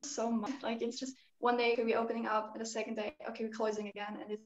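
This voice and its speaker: A woman's voice: fairly high pitch, level tone, quick pace. Teen girl on an echoey muffled call. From Germany. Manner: chatty, uses 'like' and 'kind of'. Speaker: guest. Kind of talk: casual online chat. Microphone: video call.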